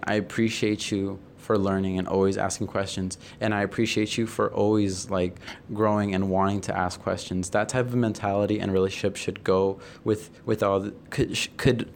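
Young male podcast guest. Lower register, sincere, searching phrasing with repeats, faint room noise. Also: gravelly, a little mellow. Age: young adult. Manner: earnest, halting, repeats phrases. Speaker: podcast guest, play cast member. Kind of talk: reflective group conversation. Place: indoor room, group podcast recording.

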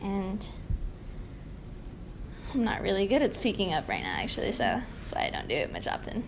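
A woman's voice: mid-range voice, huge pitch swings, moderate pace, creaky. A young woman in a casual, candid group conversation, talking in a self-effacing, conversational way peppered with "like". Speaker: podcast guest, play cast member. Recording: faint noise, room ambience.

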